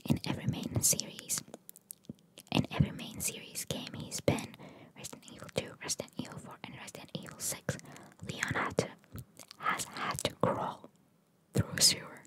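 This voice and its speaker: A woman's voice: normal speed, rough recording. Whispering woman, chatty ASMR reading. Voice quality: breathy, unvoiced whisper. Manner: informal, chatty, reacting to what is read. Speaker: ASMR host reading video game trivia.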